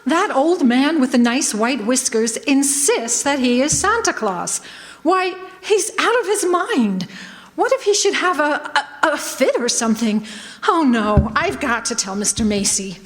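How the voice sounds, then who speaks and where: Lady doing worried earnest office boss woman, high swoopy voice, radio play, hall echo. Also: expressive, a little slow. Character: anxious, sensible female store executive. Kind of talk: stage radio drama acting. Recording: live hall reverb.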